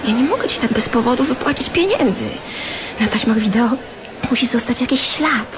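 A practical, exasperated young woman played by an actress in a radio drama. Her fairly high voice is chiding yet loving and patient. Clear noise sits behind her. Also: animated, normal speed, natural voice. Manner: chiding, patient, sometimes breathy half-whispers. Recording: clearly noisy background.

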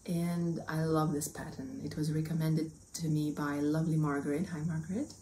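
A woman's voice: low-pitched, steady tone, moderate pace, mellow, a little hiss or hum, low-quality recording. A woman in her thirties with a Russian accent, hosting a crafting podcast in a friendly chatty way, recorded indoors at home on the camera mic. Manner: friendly, chatty, gestures with both hands. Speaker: podcast host. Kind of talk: casual chat to camera. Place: indoors, small home room.

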